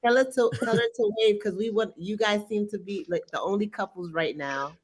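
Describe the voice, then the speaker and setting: A woman's voice: mid-range voice, brisk, slightly rough. A woman hosting a casual panel, asking direct, probing questions in informal speech. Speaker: panel host.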